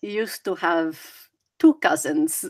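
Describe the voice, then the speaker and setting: A woman's voice: lowish voice, takes it slowly. A woman co-hosting a casual chat in a playful way, joking and teasing. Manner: playful, slips into mock accents. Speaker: co-host.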